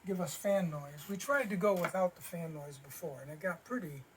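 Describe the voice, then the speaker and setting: A man's voice: on the high side, brisk, slight background noise, low-quality recording. A man casually explaining hobby modeling techniques step by step in a workshop. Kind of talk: casual hands-on tutorial. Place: basement workshop with paint booth.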